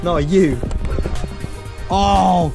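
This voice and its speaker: A man's voice: high voice, drawn-out words, vocal fry, over music. A young man with a northern English accent, joking uneasily while filming inside a derelict building. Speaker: friend exploring. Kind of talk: casual chat, filming.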